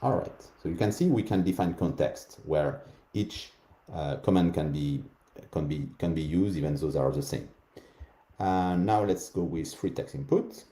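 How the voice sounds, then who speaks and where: Deep French-accented man giving a demo. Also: normal speed, mellow, reverberant. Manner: calls out voice commands between explanations. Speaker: conference speaker. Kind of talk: live demo talk.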